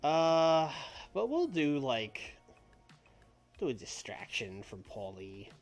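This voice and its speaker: A man casually thinking out loud while streaming a simulation game, rambling, restarting his sentences and laughing at himself. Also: on the high side, sing-song, slow. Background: faint background music.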